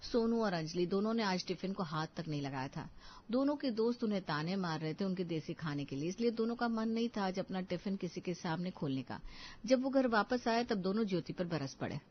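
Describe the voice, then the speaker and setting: A woman narrating a scripted moral story for an animated video. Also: mid-range voice, brisk, lo-fi sound, slight background noise.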